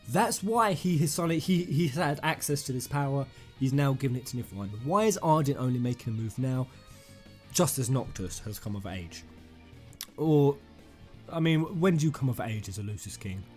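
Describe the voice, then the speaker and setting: A man hosting a fan theory video, rambling and emphatic, addressing his viewers directly in an excited commentary style. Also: medium pitch. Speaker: gaming YouTuber, host. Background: music under the voice.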